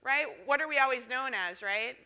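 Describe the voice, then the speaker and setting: Bright-voiced Minnesotan woman presenting. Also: high-pitched, normal speed, smooth. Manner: chatty, asks audience questions with tag "right?". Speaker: conference presenter. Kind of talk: conference presentation.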